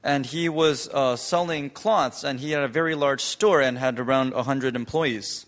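A man's voice: mid-range voice, moderate pace, a bit bright, long reverb tail. A man interpreting a church testimony into English, hesitant, with frequent fillers and restarts.

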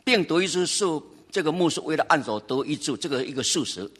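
A man with a slightly raised pitch and a husky edge to his voice. He speaks in short phrases and pauses between them so an interpreter can keep up. He sounds like a church elder giving a steady account. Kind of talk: testimony in Mandarin with pauses for interpretation.

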